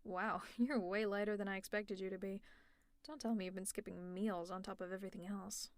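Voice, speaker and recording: A woman's voice: medium pitch, fairly even intonation, brisk, no room sound. A woman performing an audio roleplay as a teasing young villainess who scolds and looks after her exhausted rival. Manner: teasing, exasperated and concerned. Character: teasing caring female villain, young adult. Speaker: ASMR roleplay creator.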